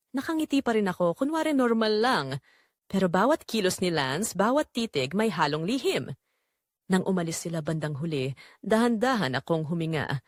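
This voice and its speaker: A woman's voice: mid-range voice, no echo, clean studio sound. A woman playing a guilty middle-aged mother confessing a forbidden affair in an emotional, scripted romantic audio drama.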